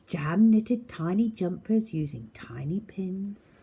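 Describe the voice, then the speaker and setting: A woman reading a children's story as narrator, dramatic and expressive, often dropping into a hushed tone. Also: on the low side, slow, husky.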